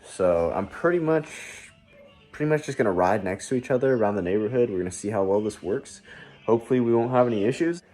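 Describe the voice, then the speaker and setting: A man's voice: lowish voice, lively intonation, quick pace, darkish tone, soft background music. A man giving a casual product review to his viewers in informal, slangy language. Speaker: product reviewer and video host.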